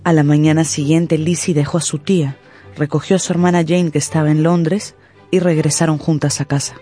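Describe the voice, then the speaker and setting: Casting: female narrator for a period radio novel, expressive literary delivery over background music. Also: low voice, fairly quick.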